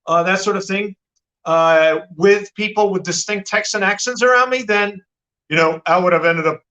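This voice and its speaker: Interview guest, male, American accent, bright high voice, rambling and self-correcting. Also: moderate pace, muffled recording. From Turkey. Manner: talkative, frequent restarts and repeated words. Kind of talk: live-stream interview.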